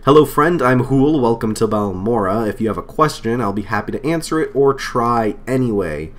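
A man's voice: medium pitch, lively intonation, moderate pace, natural voice. A man reading aloud the lines of a friendly male townsman who greets a traveler, keeping close to his own voice while he has a cold. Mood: welcoming. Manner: reading game dialogue aloud.

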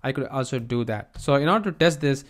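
A man presenting a software tutorial as an informal screencast, explaining step by step and often repeating his phrases. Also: medium pitch, lively intonation, fast talker. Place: voice-over on a screen recording.

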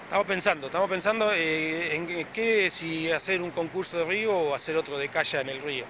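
Argentine guy in his 40s, kinda high voice, lots of "eh", street noise behind. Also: brisk. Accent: Argentine Spanish. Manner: chatty, many "eh" fillers and restarts. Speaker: club president, event organizer. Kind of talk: casual on-camera interview. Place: outdoors by a beach, in front of hedges. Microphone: handheld reporter mic close below chin. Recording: clear background noise outdoors.

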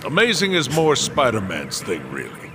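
An actor playing a pedantic, sarcastic voice inside a video game antihero's head, deadpan, with a reverberant effect. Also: male voice, mid-range voice, normal speed. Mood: dry, sarcastic. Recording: long reverb, game ambience noise behind.

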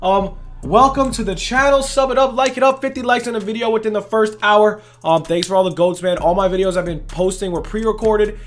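A young man with a voice going hoarse, hosting a casual gaming video in hyped, rambling talk full of "literally" and "bro". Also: high-pitched, brisk, hardly any pauses. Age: young adult. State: losing his voice. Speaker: gaming channel host. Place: indoors at a gaming setup, face cam.

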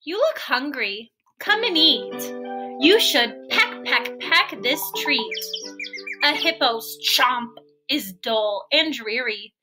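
A woman's voice: high-pitched, expressive, takes it slowly, music in the background. A woman voicing bossy, insistent jungle animals from a storybook in a coaxing, sing-song way.

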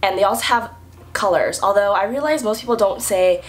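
A young woman in her twenties explaining things in a casual, upbeat vlog, her voice a little rough from a recent flu, filmed indoors on a camera at chest height. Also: medium pitch, fairly quick. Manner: chatty, upbeat, lively hand gestures. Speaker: vlogger. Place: indoor room with french doors.

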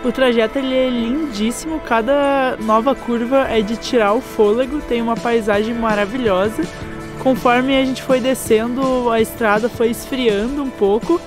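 Young Brazilian woman guiding a travel vlog. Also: fairly high pitch. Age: young adult. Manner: calm, descriptive, guiding tone. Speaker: travel vlogger. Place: outdoors on a windy overlook and city streets. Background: background music.

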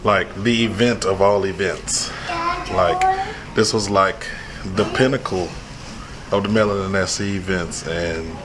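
A man in his thirties with a hoarse voice, vlogging casually to camera on a cruise, apologetic and rueful. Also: sits low, big pitch swings, a little slow. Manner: apologetic, rueful, then joking. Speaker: travel vlogger. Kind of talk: casual vlog chat. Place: cruise ship restaurant and corridors. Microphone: handheld camera at arm's length. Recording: noisy crowd and loud music behind.

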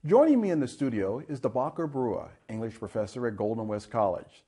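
A middle-aged man hosting a studio interview in a voice of ordinary pitch. He often restarts and repeats words while he frames a question. It sounds like a lapel mic in a television studio. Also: lively intonation, fairly quick, mellow, breathy edge. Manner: stumbling, repeating words when asking questions. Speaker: TV host.

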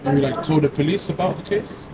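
A man conducts a casual street interview. He talks quickly as he puts his questions. Clear traffic noise runs behind his voice. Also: a little high. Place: outdoors in a city street at night. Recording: noisy street with traffic ambience.

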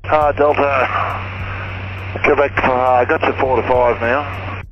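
A man's voice: mid-range voice, steady tone. A man speaking as a pilot over aviation radio, giving terse, hesitant readbacks. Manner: hesitant, halting replies. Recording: dull cockpit radio, music behind.

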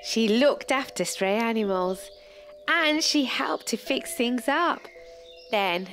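A young woman, a teacher, reading a picture book to children in expressive, deliberate storytelling narration. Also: fairly high pitch. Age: young adult. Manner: measured, deliberate storytelling delivery with pauses. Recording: music playing behind the voice. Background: clear background music.

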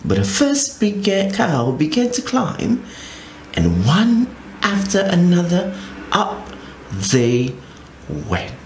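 Animated slow man reading a picture book. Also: high-pitched. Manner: expressive, very animated storybook reading. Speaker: children's storyteller. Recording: echoey room with faint ambient noise.

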